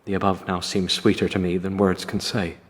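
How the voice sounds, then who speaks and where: A man's voice: low-pitched, little pitch movement, brisk, natural voice. Long reverb surrounds a man acting an earnest young male gamekeeper. He voices his letter with a servant's formality and longing, in radio drama style. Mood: earnest, yearning.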